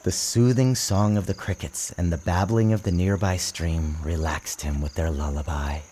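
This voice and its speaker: A man giving a measured, theatrical storybook narration in an expressive, dramatic style. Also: sits low, slight background noise. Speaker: author reading his book aloud. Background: music behind the narration.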